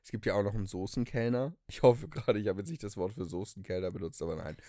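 A man's voice: on the low side, fast talker, warm tone, dry recording. Young man, casual podcast chat, dry close sound. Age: young adult. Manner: enthusiastic, slangy with English phrases. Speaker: podcast co-host. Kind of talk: casual conversational storytelling.

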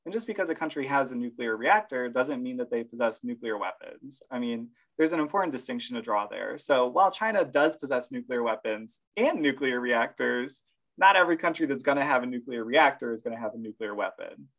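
Relaxed man co-hosting a podcast. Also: mid-range voice, fairly quick. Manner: relaxed, laughs often, reads quotes from sources. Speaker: podcast co-host. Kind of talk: casual podcast chat.

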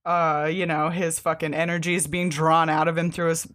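A woman's voice: low voice, level tone, normal speed, a bit bright. A woman co-hosting a podcast, chatting casually and enthusiastically about a comic, with loose, sweary phrasing. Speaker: podcast co-host. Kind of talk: casual comic book discussion.

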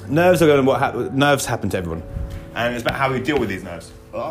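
A man's voice: medium pitch, animated, quick pace. Rambling, swearing British man with music behind. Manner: rambling, swears freely, self-mocking. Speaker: podcast host. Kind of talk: casual solo podcast chat.

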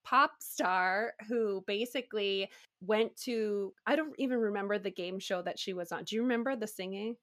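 A woman in lively, casual conversation, chatty and quick to giggle. Her voice sits at a middling pitch for a woman. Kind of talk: casual chat.

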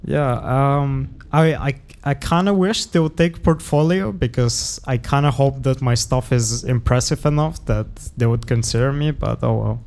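A man's voice: mid-range voice, normal speed, gravelly, few pauses, darkish tone. A young man from Bulgaria, a guest artist in a casual interview, talking in a modest, hesitant way that often trails off. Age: young adult.

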